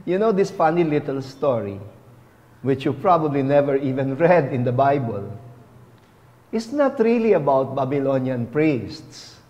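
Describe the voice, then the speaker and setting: A middle-aged man, a bishop, giving a homily at a lectern in a chapel, speaking deliberately on a lapel mic. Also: mid-range voice, expressive, mellow, in a large hall, highs cut off. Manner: slow, deliberate, teaching tone with rising emphasis. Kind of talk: sermon.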